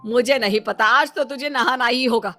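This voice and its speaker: A woman's voice: fairly high pitch, moderate pace, pushed and bright, faint music behind. A woman voicing a nagging, exasperated middle-aged mother in a dramatized moral story. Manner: scolding, nagging.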